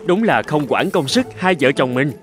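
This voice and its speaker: An actor playing an eager, gullible husband in a cartoon, speaking in an earnest, exclamatory way. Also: male voice, fairly high pitch, sing-song, moderate pace, over music. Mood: cheerful, earnest. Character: eager, gullible husband dreaming of gold, later hardworking. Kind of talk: cartoon voice acting.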